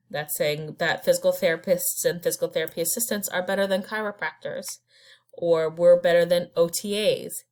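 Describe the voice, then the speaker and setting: A woman teaches from her slides. She keeps it conversational and unpolished, with fillers and restarts between her points. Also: on the low side. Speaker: instructor. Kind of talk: recorded slide lecture.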